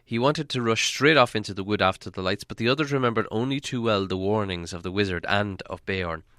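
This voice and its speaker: A man narrating a storybook from a script in a dramatic, expressive storytelling manner. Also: on the low side, a little fast, dry recording, clean studio sound. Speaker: audiobook narrator.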